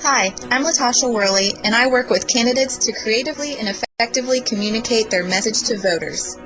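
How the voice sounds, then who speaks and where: A woman's voice: on the high side, a little fast, low-quality recording. A woman reading a scripted promotional advertisement. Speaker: advertiser, graphic designer. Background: music.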